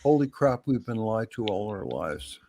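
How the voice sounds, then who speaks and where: A man's voice: lowish voice, big pitch swings, normal speed, warm tone, dry recording, clean studio sound. A man hosting a livestream, skeptically challenging his guest and often repeating his questions. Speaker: livestream host. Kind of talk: heated casual debate.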